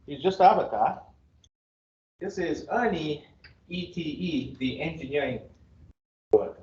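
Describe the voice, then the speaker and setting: A man's voice: fairly high pitch, animated, a little mellow. Japanese-accented man teaching in an echoey room. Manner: chatty and joking, halting with fillers. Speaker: university professor. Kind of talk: casual first-day class talk. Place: classroom. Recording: echoey, dull classroom recording with faint hiss.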